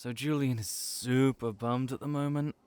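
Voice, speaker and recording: A man playing a brash, excitable young cafe worker in an audio roleplay, pushy and teasing but loyal, with emphatic, repetitive delivery. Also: mid-range voice, normal speed, clean tone, close to an everyday voice. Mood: determined.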